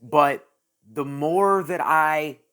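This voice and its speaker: A man's voice: fairly high pitch, drawn-out words, sharp tone, clean tone. A man in his late thirties hosting his own channel, talking casually and at length in a rambling monologue, repeating phrases as he thinks aloud. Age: 30s. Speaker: channel host.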